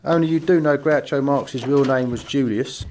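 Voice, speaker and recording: An actor as a junior gangster in a radio comedy, fairly ordinary in pitch and hesitant with his boss. There is slight noise on the recording. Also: moderate pace, darkish tone, close to an everyday voice. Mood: deferential, hesitant. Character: obliging, slightly vain gang underling. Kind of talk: comedy radio play acting.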